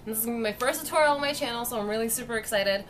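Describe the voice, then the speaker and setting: A young woman, slightly hoarse from a sore throat, talks casually through a makeup tutorial. She explains each step as she applies it. The sound comes from a webcam in her bedroom. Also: fairly high pitch, very fast, a little hiss or hum. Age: young adult. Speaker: beauty vlogger. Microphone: webcam at desk distance.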